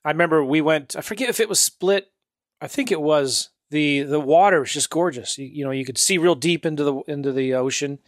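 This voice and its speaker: A man's voice: fairly high pitch, brisk, dry recording, clean studio sound. A man hosting a podcast interview, upbeat and chatty, laughing easily. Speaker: podcast host.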